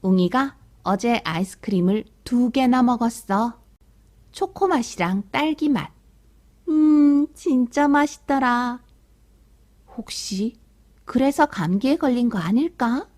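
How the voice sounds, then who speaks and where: Casting: a woman playing a chatty storybook mouth that loves treats, pitched up, soft-toned. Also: animated, slow. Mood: gleeful, wondering. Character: chatty, sweet-toothed talking mouth of a child. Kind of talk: children's picture book read-aloud.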